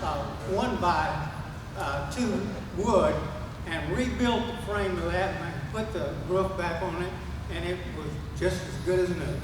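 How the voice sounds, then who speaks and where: An older man from Oklahoma with a fairly high voice, rambling through family stories with many pauses and restarts. He sounds far from the mic in a big echoing hall with loud room noise. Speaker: speaker at a ceremony, brother of the honoree. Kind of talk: casual anecdotal storytelling. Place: museum event hall.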